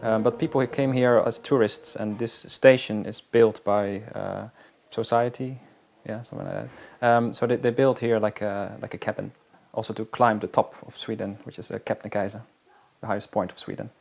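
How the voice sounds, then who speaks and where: A man, a wilderness guide, talking in a casual interview in a halting, reflective way with frequent restarts. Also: lowish voice, steady tone, vocal fry, darkish tone. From Netherlands.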